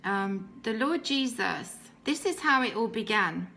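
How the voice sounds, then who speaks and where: A woman's voice: on the high side, animated, unhurried, vocal fry, pushed and bright. A British woman in her thirties giving a rambling, earnest personal testimony, stopping now and then to laugh. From Britain. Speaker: testimony speaker.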